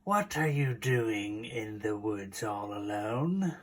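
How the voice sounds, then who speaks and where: Lady doing a big hungry wolf for a kids story, low n growly, sly, drags words out, swoops around. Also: animated, full character voice. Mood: sly, growling. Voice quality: deep, scratchy, growling. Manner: slow, menacing, swooping.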